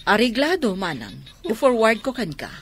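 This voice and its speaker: An actress playing a loyal, indignant younger sister in a Filipino radio drama, speaking insistently with a Filipino accent. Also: female voice, a little high, huge pitch swings, normal speed, husky, full character voice. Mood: protective, indignant.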